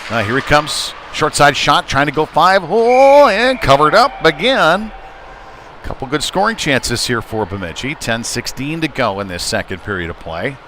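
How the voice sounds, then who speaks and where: A man doing live play-by-play ice hockey commentary in short, halting, conversational phrases. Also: on the high side, very animated, pushed and bright. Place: indoor ice rink. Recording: noisy live arena stream, rink ambience. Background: clear rink noise and ambience.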